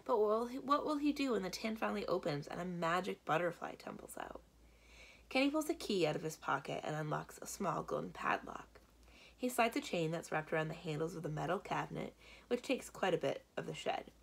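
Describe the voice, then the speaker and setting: A woman's voice: fairly low pitch, sing-song, a little fast, creaky, breathy edge. A woman reading a children's book aloud as a library storyteller, narrating expressively.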